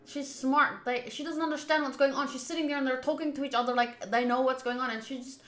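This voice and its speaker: A woman streamer doing a game playthrough, reading the text aloud and commenting in an expressive, emphatic way. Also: high-pitched, fast. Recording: roomy echo, game music behind. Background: soft game music.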